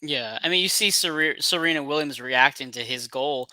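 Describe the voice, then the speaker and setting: A man hosting a podcast in casual sports chat, animated and emphatic, with many fillers. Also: fairly high pitch, normal speed, no room sound. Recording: dry close mic.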